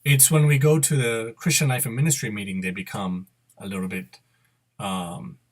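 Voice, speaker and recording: A middle-aged man narrating a step-by-step screen demo, unscripted and halting, correcting himself as he instructs. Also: on the low side, steady tone. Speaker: software tutorial presenter. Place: indoor room at a computer.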